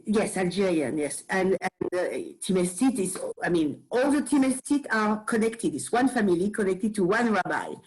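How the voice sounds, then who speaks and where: A woman with a French accent, chatting on a video call in a study group, often pausing and repeating words as she searches for them. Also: mid-range voice, lively intonation, a little mellow, rough recording. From France. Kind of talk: casual chat on a video call.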